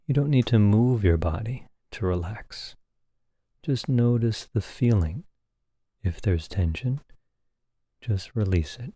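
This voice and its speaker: A man leading a guided meditation as a teacher, speaking calmly and gently in short phrases with long pauses. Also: fairly low pitch, no room sound, clean studio sound.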